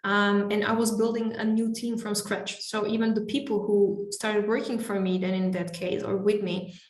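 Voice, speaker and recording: A woman recounts her experiences in a candid, reflective way, stopping and restarting as she searches for words. Her voice sits at an ordinary middle pitch. The recording has a noticeable roomy echo. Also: flat delivery, normal speed. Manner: reflective, hesitant with many restarts. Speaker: guest. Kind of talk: candid interview storytelling.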